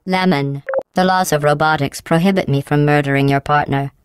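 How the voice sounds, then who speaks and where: Low-voiced female facility AI, robotic filter, deadpan, mock-polite, crisp, dry close sound. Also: brisk, no room sound. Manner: deadpan, mock-polite, monotone announcer delivery. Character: cold, passive-aggressive female AI running a test facility. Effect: synthetic robotic voice processing. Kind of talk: video game voice acting.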